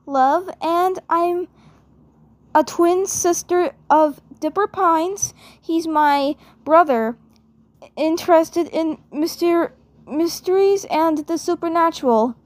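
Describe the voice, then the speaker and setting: A woman playing a bubbly, affectionate girl, speaking haltingly and repeating her words and phrases. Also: very high voice, a little mellow, dry recording. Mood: excited, gushing.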